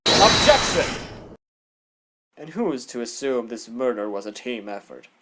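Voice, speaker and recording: A man plays a haughty cartoon bird prosecutor in an audio drama, with a pompous, theatrical delivery that swings widely in pitch. He talks down to everyone in the courtroom, over clearly audible music. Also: big pitch swings, a little slow, fairly bright tone. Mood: forceful, confident. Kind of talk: fan audio drama acting.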